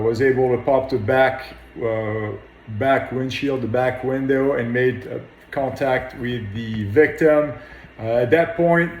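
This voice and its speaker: A man's voice: medium pitch, a little mellow, slight background noise. A man, a firefighter, giving a matter-of-fact, modest account of his work in an interview. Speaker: firefighter officer. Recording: echoey room sound.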